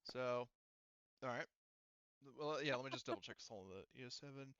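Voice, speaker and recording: Clear-voiced man running a tabletop game. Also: medium pitch, fairly quick, fairly bright tone, no room sound, dull audio. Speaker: game master. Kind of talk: casual tabletop game narration and rules talk.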